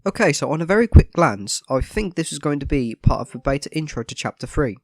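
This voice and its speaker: A man's voice: fairly high pitch, big pitch swings, quick pace, no echo. A man with a cold and a stuffy nose, giving a scripted, matter-of-fact voice-over analysis of a video game.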